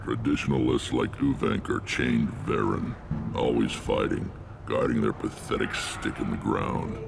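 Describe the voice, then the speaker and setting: Very deep, gravelly alien warlord. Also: male voice, fairly even intonation, noisy background, full character voice. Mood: grave, resolute. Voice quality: gravelly, rumbling. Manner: blunt, commanding, measured. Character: gruff, battle-worn alien warlord, a visionary clan leader. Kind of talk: video game character acting.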